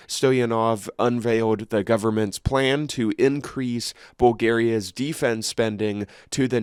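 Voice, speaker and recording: A man's voice: on the low side, clean tone, dry recording, clean studio sound. A congested man hosting his own channel, reading news articles aloud and then chatting casually with fillers and restarts. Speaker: channel host.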